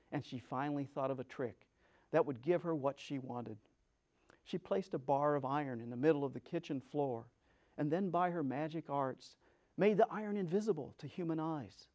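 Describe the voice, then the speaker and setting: A male storyteller with a high-pitched voice reads a storybook aloud. His delivery is dramatic and theatrical, with expressive emphasis on the words.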